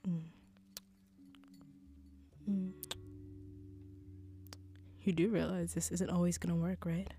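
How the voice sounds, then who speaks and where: A woman's voice: lowish voice, level tone, slow, long pauses, mellow, faint music behind. A woman voicing an assured female mafia boss who is teasing and affectionate with her girlfriend, in an intimate audio roleplay.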